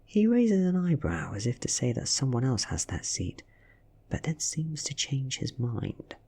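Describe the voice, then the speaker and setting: British guy doing asmr story reading, slow breathy half-whisper, bit of creak. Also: mid-range voice, huge pitch swings, mellow. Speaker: audiobook reader.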